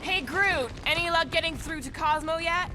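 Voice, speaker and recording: High-voiced, blunt space fighter. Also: female voice, normal speed, background noise. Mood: focused. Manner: terse, direct, skeptical. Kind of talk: video game voice acting.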